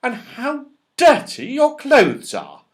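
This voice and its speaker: A man's voice: very high-pitched, wildly swooping pitch, takes it slowly, pitched above the performer's own voice, full character voice. A man voicing a worried, scolding mother in a children's storybook, fretful and exclaiming.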